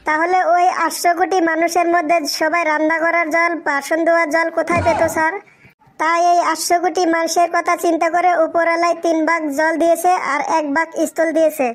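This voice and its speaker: A woman's voice: very high voice, slight background noise. A woman voicing a polite but cheeky, stubbornly earnest schoolboy in a comedy cartoon.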